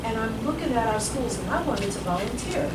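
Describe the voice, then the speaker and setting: An older grandmother holds the floor at a community meeting, wandering through her story and then pressing her points with rising force. Her voice sits fairly high. It is picked up from across an echoey room, with loud noise behind her. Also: lively intonation, a little fast, recorded from a distance. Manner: rambling, grows emphatic and forceful. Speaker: meeting attendee. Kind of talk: impassioned personal story at a meeting.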